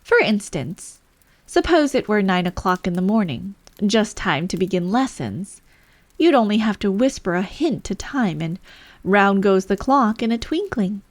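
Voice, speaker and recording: A woman's voice: mid-range voice, big pitch swings, measured pace, no room sound. A woman voicing an eccentric male hat-maker, haughty and mournful, in a storybook reading. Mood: expounding.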